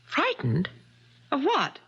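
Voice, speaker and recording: An anxious, wronged woman in a seventies radio mystery, on a slightly dull old recording. Her voice is bright and lively, moving between hesitation and sharp indignation. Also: high-pitched, takes it slowly, heavily acted. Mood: frightened, protesting. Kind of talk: 1970s radio mystery acting.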